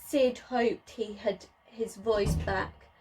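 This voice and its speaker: A woman's voice: mid-range voice, steady tone, extremely slow, background noise. A woman preschool teacher reading a story aloud, speaking expressively in simple phrases to small children.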